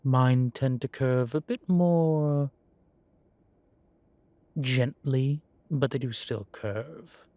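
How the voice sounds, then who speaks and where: A composed demon professor, acted by a man for a roleplay audio. He speaks unhurriedly at a middling male pitch, measured and formal with a wry, teasing edge. The sound is dry, with no room around it. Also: mellow, no room sound. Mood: patient, explaining. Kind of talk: scripted roleplay audio, one side of a conversation.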